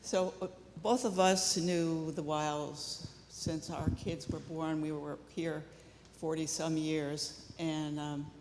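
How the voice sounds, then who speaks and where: An older woman sharing a memory of a friend at a memorial service, halting, with many fillers and restarts. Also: low voice, flat delivery, darkish tone. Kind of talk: informal memorial remembrance. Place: church sanctuary. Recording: echoey church with long reverb and faint noise.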